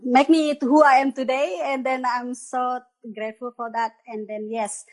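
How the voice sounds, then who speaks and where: Indonesian-accented woman guest, somewhat high, rambling, laughs a lot. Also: little pitch movement, moderate pace, darkish tone. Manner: laughs often, rambling broken phrasing. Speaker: guest workshop teacher. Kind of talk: casual live-stream chat.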